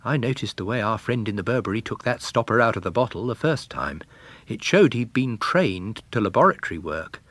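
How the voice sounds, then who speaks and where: A man reading as a courteous amateur sleuth with lively intonation. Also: fairly low pitch, a little fast, dry recording. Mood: modest, explaining. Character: commercial traveller and shrewd amateur detective, courteous, observant.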